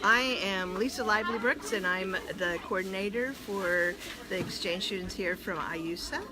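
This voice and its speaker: A woman coordinating exchange students, explaining the program in a measured way during an interview. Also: mid-range voice, noisy background. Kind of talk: interview answers. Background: crowd chatter.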